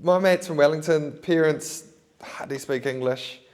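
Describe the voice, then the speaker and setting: A man's voice: a little high, measured pace, a little mellow. A man hosting an interview, thinking aloud in long, rambling turns full of "you know" and "bro". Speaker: podcast host. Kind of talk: casual interview chat.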